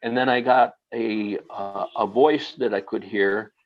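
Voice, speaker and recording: Slow, halting man with a low voice. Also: fairly even intonation, highs cut off. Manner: slow, halting, with many fillers. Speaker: guest. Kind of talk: recounting a personal experience.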